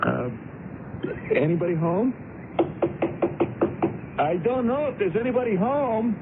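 An actor in a 1970s radio drama playing an easygoing, smitten young motorcyclist who talks in a casual, halting, colloquial way. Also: male voice, sits high, animated, over loud music. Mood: hesitant, obliging. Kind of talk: 1970s radio drama acting, dialogue and narration. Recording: noisy phone-like old radio broadcast.

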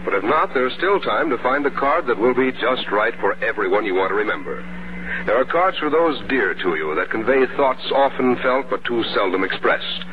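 A man's voice: medium pitch, expressive, normal speed, dull audio, noisy background. Polished American vintage radio announcer man. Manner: polished, earnest. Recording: vintage 1950 radio broadcast, music behind.

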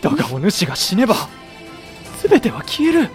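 A young actor playing a loyal samurai officer who narrates soberly and pleads with fiery passion. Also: male voice, very high-pitched, wildly swooping pitch, fairly quick, faint music behind, exaggerated voice. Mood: fervent, impassioned. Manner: passionate, rising to near shouting when pleading. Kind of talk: audio drama acting and period narration.

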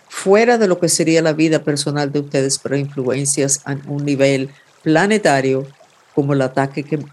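A man hosting a weekly horoscope reading, giving calm, intimate advice with vivid imagery, in Spanish that is his second language. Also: a little high, a little hiss or hum. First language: not Spanish. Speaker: astrologer host.

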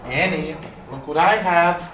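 A nosy, stubborn female coworker, with a low voice and a teasing, natural delivery. The room is echoey and there is noise behind her. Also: measured pace. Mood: eager, teasing. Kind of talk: naturalistic audio drama acting. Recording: echoey room, clearly noisy background.

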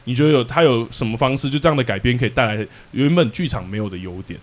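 A man's voice: mid-range voice, quick pace. A man with a Taiwanese accent hosting a casual interview, putting questions to his guest. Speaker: podcast host, interviewer.

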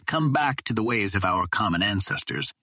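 Man voicing a proud, eloquent captive princess, husky. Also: animated, normal speed, sharp tone, no room sound, clean studio sound. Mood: fervent pleading. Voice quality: low, well-modulated. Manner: formal, pleading oratory.

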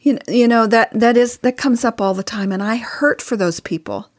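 A woman's voice: on the high side, fairly even intonation, normal speed. An older woman, past her mid-sixties, giving advice in an earnest, emphatic, conversational way as a podcast guest. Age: older, past sixty-four. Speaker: podcast guest, author and co-host spouse.

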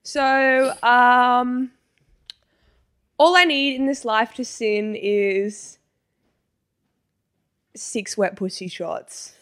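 A young woman co-hosting a podcast in relaxed casual chat, with a voice she calls surprisingly deep. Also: extremely slow, long pauses, clean tone. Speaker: podcast co-host.

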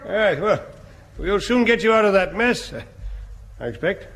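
An actor playing a hesitant, blithe English bomb disposal captain in a radio sitcom, musing and dithering. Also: male voice, high voice, animated, measured pace, heavy background noise. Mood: pondering. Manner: dithering, musing with hums and ahs. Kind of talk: British radio sitcom acting.

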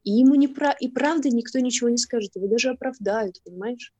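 A woman's voice: on the high side, warm tone, dry recording, muffled recording. Outspoken Russian-speaking woman, dry sound. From Russia, Irkutsk. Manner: outspoken, indignant, hesitant with drawn-out pauses. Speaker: podcast guest. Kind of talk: casual podcast conversation.

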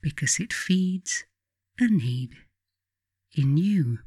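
A woman with a British accent reading her own self-help book as scripted narration, in measured, deliberate phrases with pauses. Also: low voice, animated, warm tone, slightly rough, dry recording, studio quality.

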